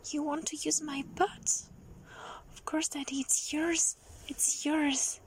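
A young adult woman performing a solo audio roleplay in a soft, breathy, pleading manner, often dropping into a whisper. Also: high-pitched, flat delivery, rough recording. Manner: slow, drawn-out delivery with frequent whispering.

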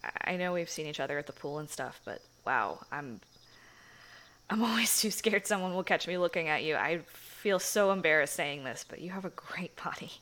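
A young woman voicing a shy, sweet churchgoing girlfriend in an intimate audio roleplay, speaking softly with hushed, hesitant phrasing and frequent giggles. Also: lowish voice.

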